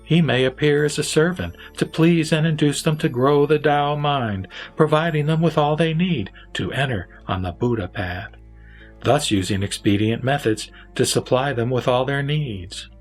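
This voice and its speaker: A man recites scripture in verse with a solemn, dramatic delivery. His voice sits at a medium pitch, and he phrases each line with measured pauses. Music plays behind him. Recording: background music under the voice.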